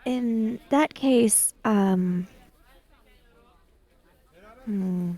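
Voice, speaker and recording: A woman's voice: fairly high pitch, drawn-out words, dark tone, some chatter behind. A woman playing a reserved, hesitant young country woman traveller in a tabletop roleplaying game.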